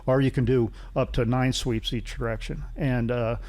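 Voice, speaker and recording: Halting middle-aged man explaining technical details. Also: medium pitch, fairly even intonation, a little mellow. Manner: halting, rambling explanations with repetition. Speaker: interview guest, loudspeaker engineer. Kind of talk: casual technical interview talk.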